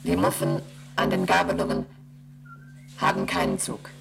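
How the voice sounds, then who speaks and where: A man voicing an emotionless onboard computer in a radio play, reading out readings and rules in a flat, mechanical way. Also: deep voice, normal speed, gravelly, a little hiss or hum, full character voice. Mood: flat reporting. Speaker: ship's computer.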